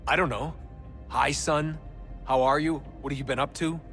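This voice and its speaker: Weary, sardonic young. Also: male voice, on the high side, fairly even intonation, moderate pace, fairly bright tone, faint music behind. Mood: bitter, angry. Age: young adult. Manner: casual, plain-spoken. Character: weary, sardonic young man, reluctant modern-day assassin. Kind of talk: naturalistic video game acting.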